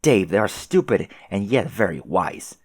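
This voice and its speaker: A man voicing a pushy, excitable female alien troll who taunts in wordy, emphatic phrases for a comic dub. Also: low voice, a little slow, no echo. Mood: taunting. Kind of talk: fan comic dub dialogue.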